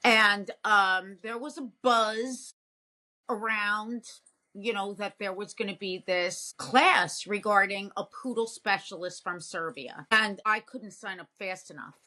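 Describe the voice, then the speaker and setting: A woman's voice: medium pitch, slow pace, bright, forceful tone. A woman co-hosting over a video call who talks in an effusive, storytelling way about grooming. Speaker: co-host, dog groomer. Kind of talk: casual chat.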